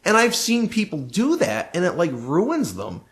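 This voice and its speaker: A man's voice: sits high, huge pitch swings, a little slow, fairly bright tone. A man co-hosting a podcast in casual conversation, giving emphatic, opinionated advice. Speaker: podcast co-host, recording engineer.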